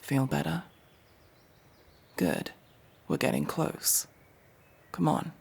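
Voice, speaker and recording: A woman playing a gruff, guarded, world-weary elf in a fantasy ASMR roleplay, curt and bossy with hushed delivery. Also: low voice, lively intonation, slow, heavily acted. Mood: guarded, softer. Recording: faint background noise with ambience.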